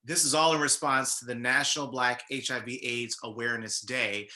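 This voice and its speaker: An American man hosts a conversational interview. His voice is strikingly bright and crisp-edged, sitting at a middling pitch for a man. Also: steady tone, moderate pace. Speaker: interview host.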